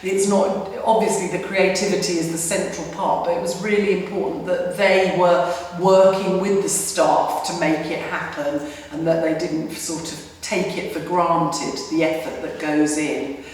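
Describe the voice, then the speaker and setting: A woman, a festival director, calmly explains a planning meeting to the camera. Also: fairly low pitch, measured pace. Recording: echoey hall, distant mic.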